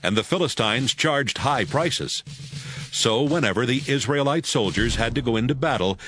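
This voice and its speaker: A man narrating scripture from a script in a measured storytelling manner. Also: medium pitch, expressive, a bit bright, a little hiss or hum.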